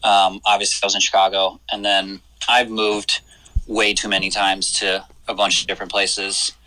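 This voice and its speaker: A young man from Michigan calling in to a podcast, talking casually and candidly with halting restarts. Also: fairly low pitch, monotone, bright, forceful tone, low-quality recording. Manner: candid, self-deprecating, halting. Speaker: caller. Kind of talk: casual phone chat.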